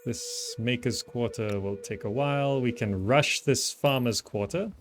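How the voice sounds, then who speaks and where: A man's voice: mid-range voice, a little slow, background noise. A man giving casual gameplay commentary, thinking aloud as he plays. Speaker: gaming video host.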